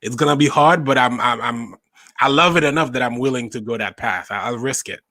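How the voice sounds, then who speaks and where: Bright-voiced man from Haiti. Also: medium pitch, fairly quick, no room sound. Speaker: stand-up comedian guest. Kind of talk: casual interview talk.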